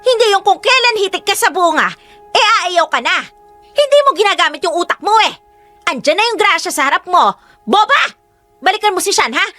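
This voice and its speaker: An actress playing a greedy, sharp-tongued older woman who berates and insults. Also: extremely high pitch, lively intonation, fairly quick, no room sound, soft background music, heavily acted. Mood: scolding. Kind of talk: radio drama acting.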